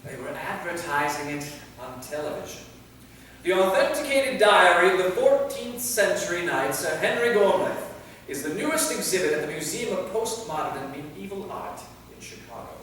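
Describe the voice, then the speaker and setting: A man's voice: high voice, big pitch swings, moderate pace, a bit bright. A man acting an anxious, earnest, centuries-old vampire knight in a live staged play reading. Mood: explaining. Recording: echoey hall from far off, faint noise.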